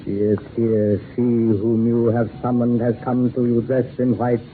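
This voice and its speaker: An actor playing a solemn magician who summons the dead, speaking gravely and formally in 1940s radio horror style. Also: male voice, medium pitch, little pitch movement, measured pace, close to an everyday voice. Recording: tinny, noisy 1940s radio recording.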